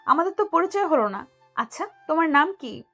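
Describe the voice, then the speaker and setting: A woman playing a quick-tempered, bossy young medical student in an audio love story. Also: high-pitched, big pitch swings, pushed and bright, soft background music, full character voice. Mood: friendly, bossy. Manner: scolding, bossy.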